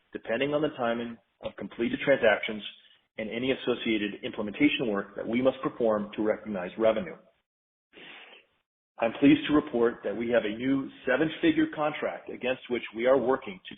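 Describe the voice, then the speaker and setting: Man reading formally over a tinny phone line. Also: medium pitch. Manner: formal, measured, businesslike. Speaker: company finance executive. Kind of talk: scripted earnings call presentation. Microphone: conference call line.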